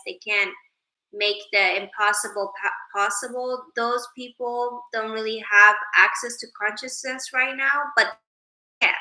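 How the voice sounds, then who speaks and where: A woman's voice: mid-range voice, pushed and bright, reverberant, rough recording. A woman from Sweden hosting a casual livestream, greeting viewers by name and musing about consciousness. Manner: chatty, reads viewer names aloud, drifts into spiritual musing. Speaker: livestream host. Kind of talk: casual livestream chat reading comments.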